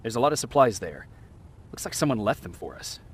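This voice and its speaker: A man's voice: mid-range voice, very animated, brisk, husky. A man playing a guarded, terse survivor soldier in a video game. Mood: guarded, quiet. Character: guarded male resistance soldier, survivor hero. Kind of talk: video game acting and narration. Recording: loud music behind the voice.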